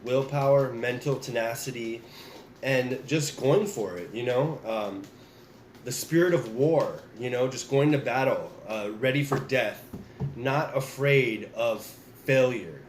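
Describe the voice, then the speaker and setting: A man's voice: mid-range voice, a little slow, echoey room, a little hiss or hum, rough recording. A man giving a casual tarot reading, talking straight to his viewers while getting over the flu. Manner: relaxed, repeats and restarts, addresses viewers directly. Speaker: tarot reader.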